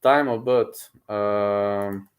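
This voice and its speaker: A man, a streamer chatting casually with his viewers, boastful and excitable, often repeating himself. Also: on the low side, very slow. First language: Serbian. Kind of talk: casual livestream chat, reading messages aloud.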